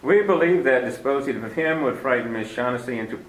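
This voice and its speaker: A man's voice: mid-range voice, animated, fairly quick, echoey room, distant mic, natural voice, rough recording. A man playing a pompous, chuckling crime boss. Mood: candid. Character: fat, smooth, genteel older crime boss. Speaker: actor in a radio play. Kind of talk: 1940s radio acting.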